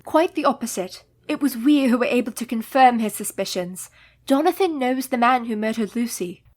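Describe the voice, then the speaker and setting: Casting: resolute young Victorian lady, earnest and composed, slightly high voice, radio drama. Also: expressive, normal speed, darkish tone. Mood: grave, certain. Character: poised, determined young married Victorian woman. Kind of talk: radio play acting.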